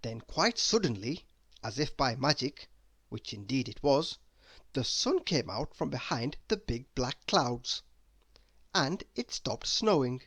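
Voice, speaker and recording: Animated, unhurried children's story reader. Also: mid-range voice, breathy edge, no echo. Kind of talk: expressive children's read-aloud narration.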